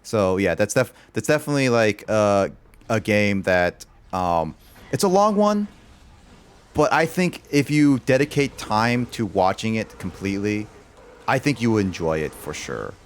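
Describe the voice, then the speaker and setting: A man's voice: lowish voice, animated, unhurried. A man chatting casually on a livestream, rambling and filling his talk with "like". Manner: rambling, loaded with "like" fillers.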